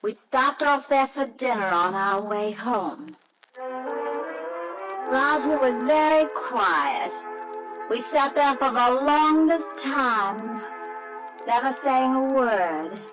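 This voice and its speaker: Wistful young woman, unhurried, higher-pitched. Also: lively intonation, loud music behind the voice, poor audio. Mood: wistful, reminiscing. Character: wistful young wife recalling her courtship, gentle and demure. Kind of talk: old-time radio drama acting, wistful first-person narration.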